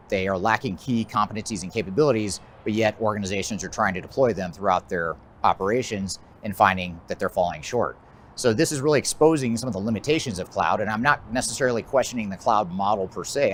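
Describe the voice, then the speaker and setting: An American man giving a measured, methodical explanatory presentation as a business consultant, his phrases often trailing off at the end. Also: sits low, a bit bright, slight background noise. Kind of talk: explanatory presentation of a trends list.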